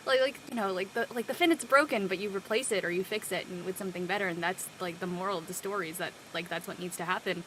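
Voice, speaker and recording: A woman's voice: medium pitch, fast, few pauses, a bit bright, slight background noise, poor audio. A woman panelist chatting casually after a show, enthusiastic, effusive and slangy. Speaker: cast member panelist. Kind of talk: casual post-show discussion.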